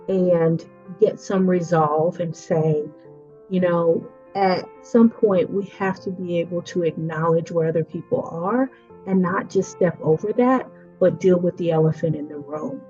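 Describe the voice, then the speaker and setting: Earnest 40s woman telling her story, low-ish warm voice, kinda dull lo-fi audio. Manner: earnest, reflective, slows down to stress points. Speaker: education leader. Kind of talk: personal testimony talk. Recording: dull lo-fi audio with faint music.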